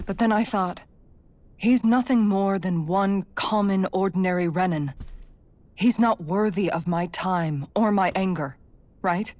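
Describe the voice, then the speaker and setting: A woman's voice: medium pitch, unhurried, darkish tone, no room sound, lightly acted. An actress playing a grieving but composed young woman in a fantasy video game, restrained and thoughtful. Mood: subdued, resigned.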